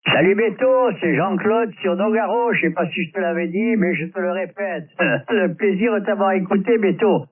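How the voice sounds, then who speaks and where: A man's voice: high-pitched, normal speed, recorded from a distance, narrow, tinny audio. A man chatting casually as an amateur radio operator over a band-limited shortwave transmission. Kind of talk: casual ham radio chat. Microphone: radio transceiver microphone.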